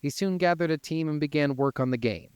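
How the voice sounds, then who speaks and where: A man's voice: a little high, fairly quick, clear, resonant tone, no echo, studio quality. A man hosting a casual gaming podcast, moving between informal, sweary chat and reading from notes. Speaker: podcast host.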